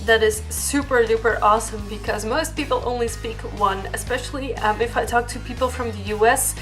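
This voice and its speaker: High-voiced young woman. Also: fairly bright tone. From Belgium. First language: Dutch. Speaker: vlogger. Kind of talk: casual question-and-answer vlog to camera.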